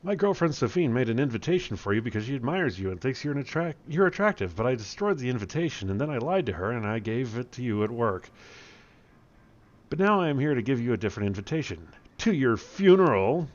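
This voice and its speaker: A man's voice: medium pitch, very animated, fast, lo-fi sound, close to an everyday voice. A man voicing a smug, scheming boss villain in a comic story, pompous and long-winded. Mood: gloating.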